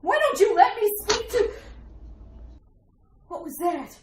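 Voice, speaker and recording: A woman acts a frightened wife in an old-style radio drama, in a roomy, echoing space. Her very high voice is anxious and imploring, with melodramatic urgency. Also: very animated, slow, full character voice. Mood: pleading. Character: frightened, pleading wife kept confined at home. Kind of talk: retro radio drama acting. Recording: roomy echo, faint noise.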